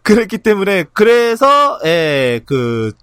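A man hosting a podcast in a casual, halting way, often clearing his throat between phrases. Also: high voice, big pitch swings, fairly bright tone, no echo, clean studio sound. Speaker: podcast host. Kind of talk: casual podcast outro and announcements.

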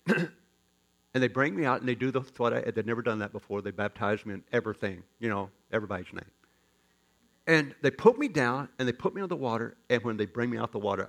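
A man pastor preaching a sermon as folksy, joking storytelling, with sudden loud rises in his delivery. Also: lowish voice, creaky.

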